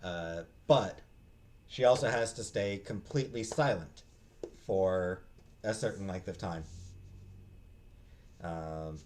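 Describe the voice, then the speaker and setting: Halting man with a lowish voice. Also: a little mellow, a little hiss or hum. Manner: halting, hesitant, searching for words. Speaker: book reviewer, host. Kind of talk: casual unscripted book talk.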